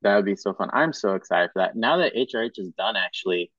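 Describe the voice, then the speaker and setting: A young man from Madagascar hosting a podcast, talking in a relaxed, casual way and restarting his sentences as he thinks. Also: lowish voice, fast, no room sound, highs cut off. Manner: relaxed, says bro and dope a lot, thinks aloud with restarts. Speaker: podcast host.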